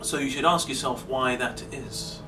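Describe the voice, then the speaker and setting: A man delivering a deliberate, emphatic monologue of social commentary, pausing between phrases. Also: mid-range voice, fairly even intonation, over music. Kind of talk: slow rhetorical monologue. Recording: distant mic, clearly noisy background.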